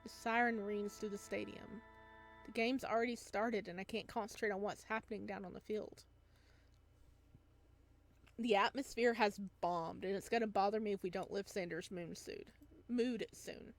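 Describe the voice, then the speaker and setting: A woman's voice: mid-range voice, fairly even intonation, normal speed, soft background music. A woman reading a visual novel aloud expressively as a let's-player, with casual asides, on a close home microphone.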